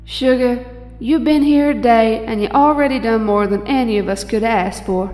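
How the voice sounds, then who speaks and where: A woman as a high-voiced Southern heroine, echoing. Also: normal speed, a little mellow, close to an everyday voice. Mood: reassuring. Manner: folksy, easygoing. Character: breezy, kind young Southern belle superheroine. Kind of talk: audio drama acting. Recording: echoey hall reverb, music underneath.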